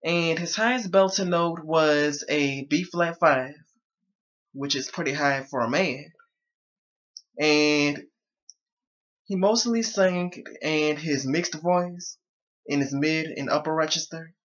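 A man's voice: a little high, takes it slowly, long pauses, a bit bright, muffled recording. A young man talking casually to his viewers from his bedroom, his voice a little strained. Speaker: vlogger. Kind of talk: casual talk to camera. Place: small bedroom indoors.

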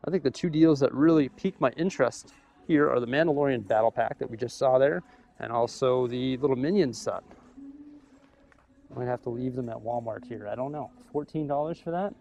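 A young man filming himself in a big store, chatting casually as he weighs up prices and thinks out loud. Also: medium pitch, brisk, creaky, faint music behind. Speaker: vlogger. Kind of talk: casual shopping vlog. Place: large store aisle, indoors. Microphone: camera held at arm's length.

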